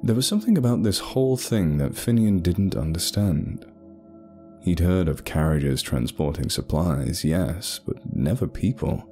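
Deep, mellow male storyteller. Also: animated, unhurried. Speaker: narrator. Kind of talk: scripted horror story narration. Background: faint music.

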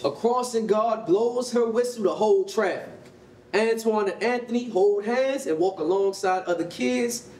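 A man's voice: sits high, a little mellow. An American man reading scripted stage directions as narrator at a staged reading. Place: concrete-walled rehearsal hall. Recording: roomy, distant mic, faint noise.

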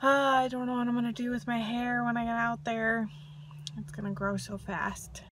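A woman's voice: on the high side, flat delivery, normal speed. A woman in her twenties chatting candidly into a phone held at arm's length, thinking aloud as she talks about her plans. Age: 20s. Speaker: vlogger. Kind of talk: casual selfie vlog chat. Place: outdoors on a windy lakeside pier. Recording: loud wind noise on the phone mic.

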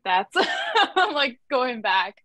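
A woman's voice: high-pitched, wildly swooping pitch, extremely slow, sharp tone, no room sound. Giggly young woman with a dry sound. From Canada. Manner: giggly, chatty, uses "like" a lot. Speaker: illustrator. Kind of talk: casual conversation. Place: small room at home. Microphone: laptop webcam video call.

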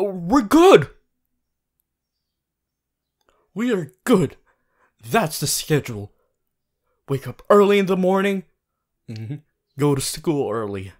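A man playing an earnest, bossy, worried young student hero in an audio roleplay, talking to the listener in an insistent, halting, emphatic way. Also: fairly high pitch. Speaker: voice actor.

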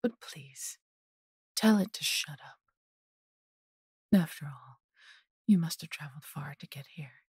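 A woman as a low, husky, possessive dragoness. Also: measured pace, long pauses, darkish tone, dry recording, clean studio sound, heavily acted. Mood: coaxing, possessive. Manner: condescending, theatrical, often dropping to a breathy whisper. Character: possessive, haughty yet doting injured female dragon. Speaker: voice actor. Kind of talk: intimate audio roleplay acting.

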